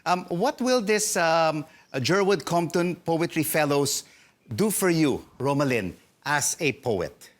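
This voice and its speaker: A male news anchor in a TV studio, asking measured interview questions with frequent ums. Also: a little high, expressive. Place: television studio.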